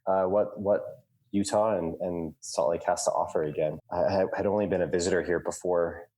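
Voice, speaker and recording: A man co-hosting a podcast, talking casually in halting phrases with many restarts. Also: low-pitched, steady tone, mellow. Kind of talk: casual chat.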